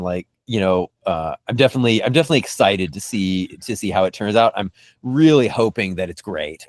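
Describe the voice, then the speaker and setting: A man with a fairly low voice hosts a casual podcast, recorded close and dry. He is enthusiastic and halting, often circling back to repeat a phrase before he moves on. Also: no echo. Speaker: podcast host.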